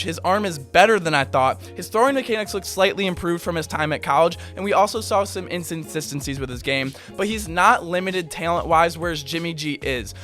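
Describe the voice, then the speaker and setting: A man with a hoarse, worn voice from being under the weather, breaking down football game film and sharing his opinions. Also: sits high, fairly bright tone. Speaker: sports video host.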